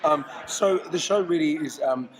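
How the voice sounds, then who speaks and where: A man's voice: fairly high pitch, moderate pace, low-quality recording. Man talking over a roaring crowd. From London, England. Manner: thoughtful, restarts often. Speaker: actor interviewed about his series. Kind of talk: casual press-junket chat. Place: busy convention floor. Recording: loud convention crowd behind.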